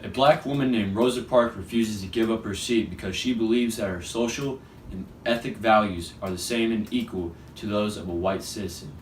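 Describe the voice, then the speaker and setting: A man's voice: lowish voice, moderate pace. A young man reading scripted newsreel narration as the anchor. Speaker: news anchor. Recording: echoey, distant mic, faint noise.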